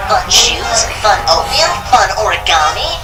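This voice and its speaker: An actor voicing an upbeat, inventive young boy in a cartoon story, excitedly declaring ideas. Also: medium pitch, measured pace, roomy sound, recorded from a distance, natural voice. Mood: enthusiastic. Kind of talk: cartoon acting. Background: music.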